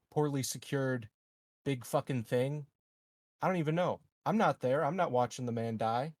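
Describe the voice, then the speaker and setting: A man's voice: mid-range voice, darkish tone, no echo, studio quality. A man playing a tabletop roleplaying game, improvising in character in a casual, profane way.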